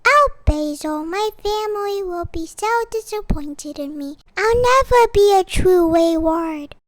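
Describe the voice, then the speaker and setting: A woman's voice: very high voice, measured pace, dry recording, voice pushed up. A woman voicing an eager, determined little witch girl in a children's storybook read-aloud. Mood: dejected.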